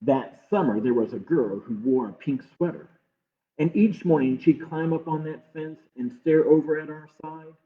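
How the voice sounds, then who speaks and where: A man reading a picture book aloud to children with measured, expressive pauses. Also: fairly high pitch. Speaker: library storytime reader. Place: livestream from a closed-library online program. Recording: muffled phone-like streaming audio.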